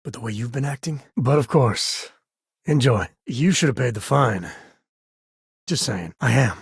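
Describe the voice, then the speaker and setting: A roguish spacefaring ranger in a video game, mid-pitched and heavily husky. He talks in a folksy, wry way, like a protective father who has seen the frontier. The sound is dry and close, with no room on it. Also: lively intonation, unhurried, no room sound, heavily acted. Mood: wry, conversational. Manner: folksy, wry, casual drawl of words. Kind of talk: video game voice acting, short dialogue lines.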